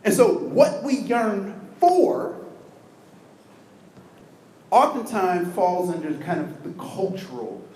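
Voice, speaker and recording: A man giving an energetic, interactive diversity training talk, asking the audience questions. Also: high voice, takes it slowly, pauses often, reverberant, poor audio. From United States.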